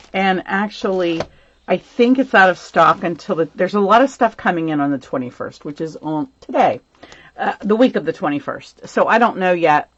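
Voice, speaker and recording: A woman's voice: sits low, dull audio. A woman hosting a live stamping class from home, chatting casually with viewers and showing craft supplies, her voice a little worn as she says she is losing it. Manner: chatty, greets viewers by name, many ums. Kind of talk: casual live-stream chat and craft demo.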